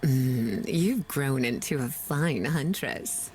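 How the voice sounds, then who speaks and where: A woman voicing a seductive, cruel demon huntress in a video game, purring and teasing with drawn-out delivery. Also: extremely low pitch, animated, darkish tone, slight background noise, full character voice. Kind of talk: video game character voice acting. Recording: game audio with music behind.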